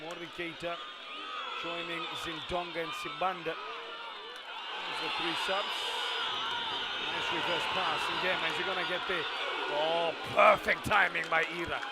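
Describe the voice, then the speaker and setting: A man giving live football commentary, chatty with witty asides, and shouting at the big chances. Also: high-pitched, fairly even intonation, moderate pace. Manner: chatty, quips and asides between play, rises to shouts at chances. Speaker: match commentator. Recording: loud stadium crowd and noise behind the commentary. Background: loud stadium crowd.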